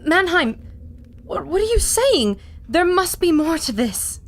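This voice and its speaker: A woman's voice: extremely high pitch, big pitch swings, unhurried, exaggerated voice. An actress playing a spirited, loyal woman who argues insistently in defence of her friend in a radio adventure drama. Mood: concerned. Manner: insistent, indignant.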